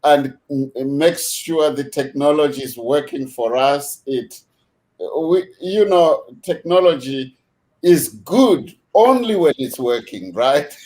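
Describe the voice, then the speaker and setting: A man hosting a video call discussion, measured and unhurried, with many fillers as he thanks his guests. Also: fairly high pitch, expressive, darkish tone. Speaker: host.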